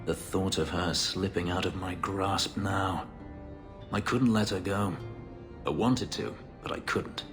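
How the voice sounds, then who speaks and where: Deep, grave ex-slave elf warrior; controlled, clipped; reverberant game audio with music. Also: male voice, a little slow. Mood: remorseful. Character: brooding elven male ex-slave warrior, bitter. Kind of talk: video game voice acting.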